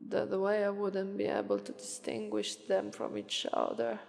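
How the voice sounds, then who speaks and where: A woman with an Italian accent voicing a languid, aloof, coolly persuasive sloth demon in a slow deadpan ASMR roleplay monologue. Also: medium pitch, creaky. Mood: bored, musing. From Italy. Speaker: voice actress. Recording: long reverberant echo on voice.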